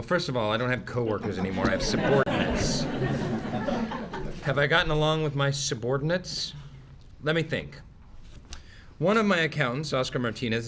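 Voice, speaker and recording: A man's voice: mid-range voice, fairly bright tone, close to an everyday voice. A man playing a proud, officious office manager in a sitcom table read, formal, emphatic and pompous. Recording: faint background noise, live room.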